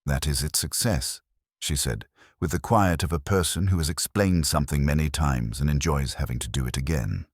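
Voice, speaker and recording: Very deep male mystery narrator. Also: breathy edge, dry recording, studio quality. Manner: measured, formal, literary. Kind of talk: scripted mystery story narration.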